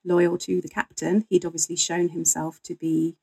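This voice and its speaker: A woman's voice: fairly low pitch, little pitch movement, moderate pace, gravelly, a little mellow, breathy edge. A woman talking conversationally as a guest historian, telling stories in an interview.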